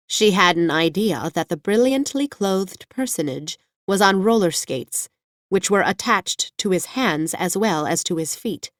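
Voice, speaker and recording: Lowish-voiced woman narrating a storybook. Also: no room sound, studio quality. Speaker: audiobook narrator. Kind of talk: scripted children's fiction narration.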